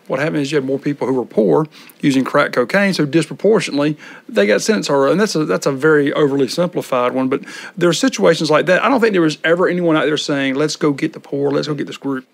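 A man explains things in an interview, stopping to correct himself. His voice is a little high with a gravelly creak. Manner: halting, self-correcting.

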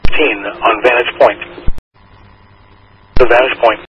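A man's voice: medium pitch, steady tone, unhurried. Man on muffled police radio. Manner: clipped, procedural. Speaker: police radio dispatcher or officer. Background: radio noise.

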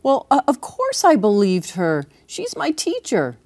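An American woman voicing an earnest, expressive young girl in a children's storybook. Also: mid-range voice, a little slow, no echo, voice pushed up. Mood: upset. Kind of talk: storybook character reading.

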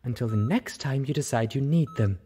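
A man voicing a sharp-tongued female pony companion, cross, scolding and demanding. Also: mid-range voice, a little fast, soft background music, voice pushed up, natural voice. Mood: seething.

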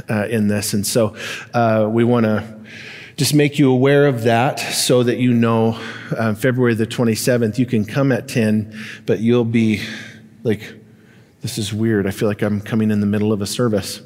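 A man's voice: fairly low pitch, darkish tone. A middle-aged American man preaching conversationally to a congregation as a pastor, informal, with joking asides and stop-and-start phrasing. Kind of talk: conversational sermon and announcements to a congregation. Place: large church auditorium stage, indoors. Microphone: headset microphone. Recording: long hall reverb from church auditorium.

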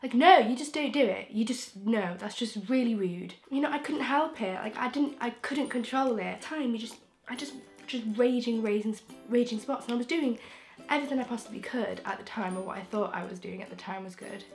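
A young woman from England, croaky from a throat infection, chatting frankly and rambling with restarts as a beauty vlogger in her bedroom. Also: fairly high pitch, fairly quick, faint music behind. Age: young adult. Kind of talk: casual chatty vlog. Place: bedroom, indoors. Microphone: camera in front, close framing.

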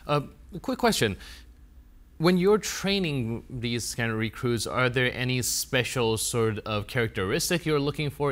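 A man hosting a TV news interview, asking polite, measured questions from a studio. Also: on the low side, fairly bright tone. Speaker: news show host.

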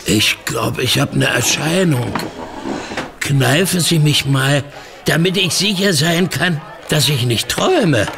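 An actor playing a cheeky, mocking safecracker crook in a German radio play, with a sardonic, hesitant drawl. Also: male voice, on the high side, lively intonation, slow, gravelly, fairly bright tone, faint music behind, exaggerated voice.

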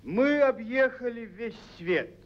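An actor playing a proud seafaring merchant, chanting verse ceremoniously in an old animated film. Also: male voice, very high voice, slow, soft background music, full character voice, low-quality recording. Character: well-travelled merchant sailor, boastful and respectful. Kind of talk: 1940s Soviet animated film, verse declamation. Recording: old 1940s film soundtrack.

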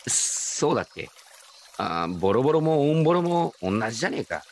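A man's voice: mid-range voice, very animated, normal speed, slight background noise. A man playing a wry, nostalgic grown grandson, telling his story casually and reflectively in a radio drama. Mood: bewildered. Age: adult. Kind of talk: first-person radio drama narration and dialogue.

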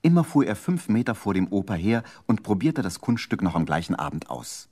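An actor narrating a children's radio play as the storybook teller of a village tale. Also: male voice, fairly low pitch, sing-song, a little fast, dark tone, slight background noise. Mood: lively storytelling.

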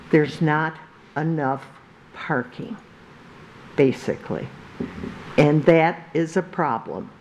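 An older woman with a big, carrying voice, speaking slowly and haltingly at a podium microphone during public comment in a council chamber. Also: lowish voice, a little mellow, slight background noise, low-quality recording. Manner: slow, halting, with many pauses and restarts. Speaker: resident giving public comment. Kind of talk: public comment at a zoning meeting.

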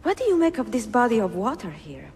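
A woman's voice: on the high side, sing-song, a little fast, vocal fry, a little mellow, slightly rough, exaggerated voice. A woman playing an educated, poised young Italian bookseller in a video game, articulate, with an Italian accent and a reverberant sound. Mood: eager, curious. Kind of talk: game cutscene acting.

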